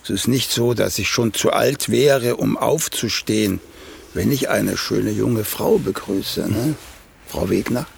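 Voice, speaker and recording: An actor in a German radio play voicing a vain, needling elderly playwright who speaks in a pompous, deliberate way. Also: male voice, lowish voice, vocal fry, slightly rough, exaggerated voice. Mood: courtly, vain. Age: older. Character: vain, cantankerous elderly once-celebrated playwright.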